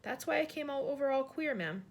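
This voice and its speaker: A woman's voice: high-pitched, animated, brisk, pitched above the performer's own voice. A woman voicing an older, deferential maid who speaks in a hesitant, quivering, polite way. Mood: trembling.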